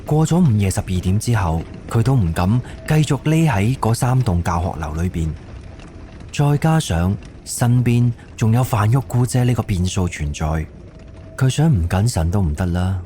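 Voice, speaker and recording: A man's voice: lowish voice, lively intonation, normal speed, a little hiss or hum. A man with a Cantonese accent narrating a scripted suspense ghost story for an audio drama. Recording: soft music under the voice. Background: background music.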